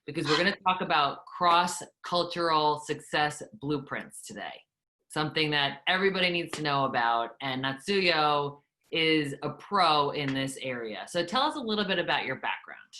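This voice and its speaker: A middle-aged woman hosts an interview with gushing enthusiasm. Her voice sits very low for a woman yet rings bright and forceful. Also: animated, normal speed, a little hiss or hum. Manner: enthusiastic, effusive. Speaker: host. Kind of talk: casual interview.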